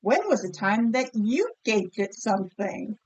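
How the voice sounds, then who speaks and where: A woman with a mid-pitched voice teaches a read-aloud lesson. She speaks as an encouraging teacher, posing questions to children and pronouncing words distinctly so they can repeat them. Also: sing-song, a little slow, dull audio.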